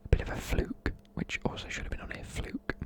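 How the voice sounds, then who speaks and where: A man, whispering softly in a chatty, rambling way while explaining words. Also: a little fast. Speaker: ASMR creator. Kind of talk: whispered ASMR explaining slang.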